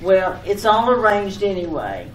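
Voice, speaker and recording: A woman's voice: medium pitch, very animated, a little slow. A woman playing a fussing, affectionate, nagging wife in an old-time radio comedy skit, often repeating herself. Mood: insistent. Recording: echoey room, distant mic, background noise.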